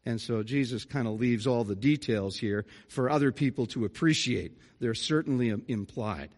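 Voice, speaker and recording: A man's voice: medium pitch, moderate pace, a little mellow. A middle-aged man, a pastor delivering an earnest, conversational sermon with folksy asides. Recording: reverberant church hall.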